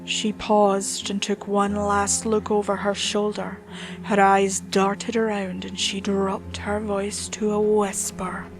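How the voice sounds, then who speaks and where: A woman reading a horror story as scripted dramatic narration in a measured, solemn manner. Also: medium pitch, completely flat delivery, noisy background.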